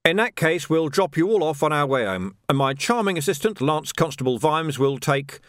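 A man doing a wry, deadpan watch sergeant. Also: fairly high pitch, normal speed, no echo, clean studio sound, pitched above the performer's own voice. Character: wry, dry-witted city watch sergeant.

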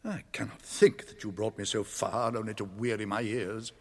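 An actor playing a wise, steadfast old wizard in a radio drama, speaking in a measured, stern and dry manner. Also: male voice, lowish voice, steady tone. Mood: defiant. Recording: reverberant chamber radio drama sound.

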